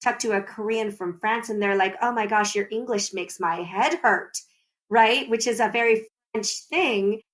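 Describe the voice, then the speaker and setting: Animated woman telling stories. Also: medium pitch. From United States. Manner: animated storyteller, laughs often, explains at length. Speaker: guest. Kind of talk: conversational podcast interview.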